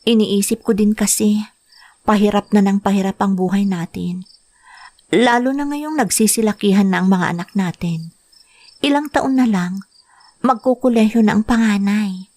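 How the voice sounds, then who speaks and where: Lady doing a worried young mom in a radio drama, gentle, earnest, plain mid voice. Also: mid-range voice, normal speed, pauses often, no echo, close to an everyday voice. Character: worried young wife and mother planning work abroad.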